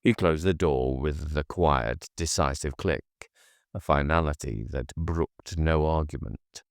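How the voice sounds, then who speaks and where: A man reading an audiobook in a measured, quiet narration style. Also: extremely low pitch, no echo, clean studio sound. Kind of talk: scripted narration.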